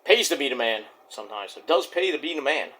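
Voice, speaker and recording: A man's voice: mid-range voice, big pitch swings, fast talker, fairly bright tone, poor audio. A middle-aged man with a Brooklyn New York accent, rambling boastfully through a casual wrestling review monologue. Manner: boastful, rambling, restarts and fillers.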